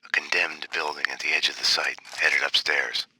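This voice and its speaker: An actor playing a world-weary middle-aged detective in a game, speaking terse, fatalistic, deadpan noir monologue. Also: male voice, rumbling low, normal speed, breathy edge, no echo, full character voice, poor audio. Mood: terse, brooding. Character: brooding, world-weary middle-aged male detective narrating his own downfall. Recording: dramatic music under the voice.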